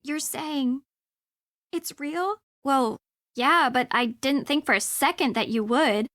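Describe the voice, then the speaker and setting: A sardonic young sidekick in a video game. Her voice is on the high side, casual and teasing, with a sceptical edge. It is recorded very dry. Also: takes it slowly, a bit bright, dry recording. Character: sardonic, sceptical young woman, detective's wisecracking friend.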